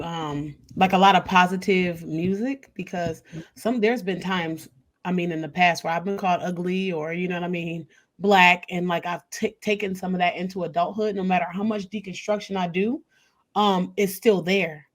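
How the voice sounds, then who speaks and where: Expressive woman, open and laughing. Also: lowish voice, normal speed. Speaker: podcast co-host. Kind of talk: casual chat.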